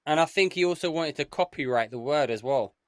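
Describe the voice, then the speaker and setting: A man's voice: on the high side, a little fast, a little hiss or hum. A man co-hosting a podcast over a video call, eagerly quizzing the others. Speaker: podcast co-host. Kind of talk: casual chat.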